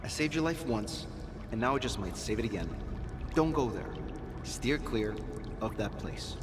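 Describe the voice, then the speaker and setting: An actor playing a shady, foul-mouthed and weary male swamp guide in a video game, with gruff, slangy, grumbling delivery. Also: mid-range voice, animated, moderate pace, big-room sound, noisy background, close to an everyday voice. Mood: warning, resigned. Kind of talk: video game cutscene acting.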